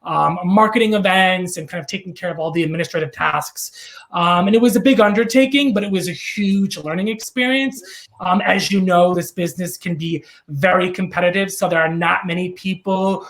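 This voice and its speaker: A man in his thirties with a New York accent answers interview questions in a loose, rambling way, full of ums and restarts. His voice has a gravelly, fry-tinged texture. He sounds like a real estate broker chatting casually. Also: high voice, normal speed, a bit bright. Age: 30s.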